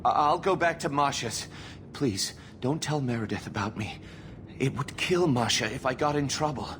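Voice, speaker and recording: Guy acting as nervous rebel mage in a fantasy game, mid pitch, earnest and pleading, noisy rumble behind. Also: moderate pace, lightly acted. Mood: earnest urging. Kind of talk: dramatic video game dialogue.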